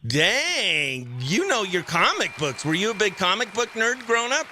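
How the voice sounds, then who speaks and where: A man's voice: high-pitched, big pitch swings, normal speed, pushed and bright, slight background noise. An American man hosting a morning radio show, bantering informally and running call-in games in an upbeat, chummy way. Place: small radio station studio.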